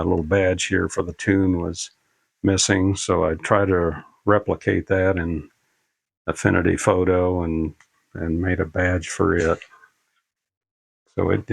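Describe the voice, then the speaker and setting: An older man giving a casual, unscripted how-to demonstration, talking in a halting, plain-spoken, folksy way as he explains each step. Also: sits low, flat delivery, vocal fry, no echo. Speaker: presenter of a radio repair tutorial.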